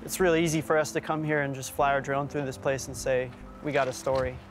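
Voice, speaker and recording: A man's voice: medium pitch, fairly even intonation, quick pace. Man in his 30s, documentary host, casual reflective talk, lapel mic, music underneath. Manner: thoughtful, casual, conversational. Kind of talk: documentary presenting and voice-over. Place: outdoors and inside an abandoned factory.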